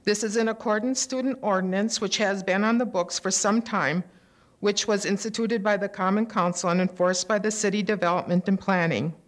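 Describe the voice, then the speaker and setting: Older woman reading a complaint at a podium. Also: medium pitch. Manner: steady, measured, indignant complaint. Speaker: resident speaking at public comment. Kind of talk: prepared statement read at a council meeting. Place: city council chamber, at a podium. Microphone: podium microphone on a gooseneck.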